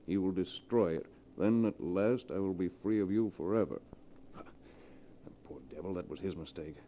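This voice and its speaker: An actor playing a cold, smug, murderous millionaire in a 1940s radio mystery, speaking with deliberate, melodramatic menace. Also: male voice, low-pitched, dark tone, highs cut off, lightly acted. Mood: scowling, musing. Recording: vintage 1940s radio recording.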